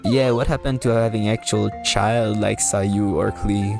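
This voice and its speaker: A woman's voice: very deep voice, fairly even intonation, unhurried, vocal fry, over music. A woman game streamer giving casual live commentary as she reads through a story game. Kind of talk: live game commentary and reading dialogue aloud.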